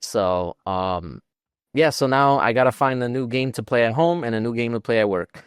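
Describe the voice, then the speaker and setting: A man's voice: mid-range voice, normal speed, clear, resonant tone, dry recording, clean studio sound. A man co-hosting a podcast, chatting informally about video games in a self-deprecating way. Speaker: podcast co-host.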